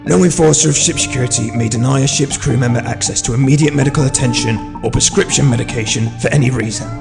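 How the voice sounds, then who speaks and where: A man's voice: medium pitch, quick pace, lightly acted. A man playing an officious starship lieutenant commander in an audio drama. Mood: smug, officious. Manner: crisp, officious, smug. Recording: voice under loud music. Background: loud music.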